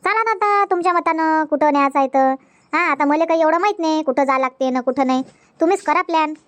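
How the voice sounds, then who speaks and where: A woman's voice: high voice, fast talker, dry recording, lightly acted. A woman voicing a chatty young newlywed wife in a comedy cartoon, who nags and repeats herself. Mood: pleading. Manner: repetitive, nagging, talks in a rush. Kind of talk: Marathi comedy cartoon dub.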